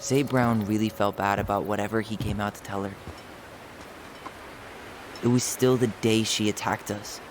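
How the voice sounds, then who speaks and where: A man's voice: fairly low pitch, steady tone, normal speed, long pauses, noisy background, natural voice. An actor playing a skeptical young American man in a video game, speaking in a casual, wry, blunt way. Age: young adult. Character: skeptical, sardonic young man confronting old family memories. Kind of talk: naturalistic video game dialogue.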